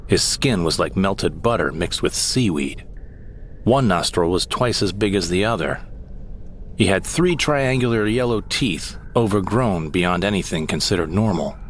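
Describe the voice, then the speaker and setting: A man's voice: sits low, animated, unhurried, slight background noise. A man narrating a comic audio drama in the first person, in a wry, theatrical storytelling manner. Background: music.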